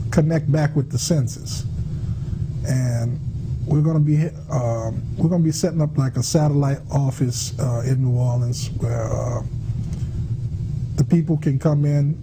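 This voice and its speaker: A man of average pitch gives an informal explanation as a guest on a panel. He moves haltingly, hesitating between phrases. There is clear noise around him. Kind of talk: informal interview talk. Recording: noisy room with background ambience.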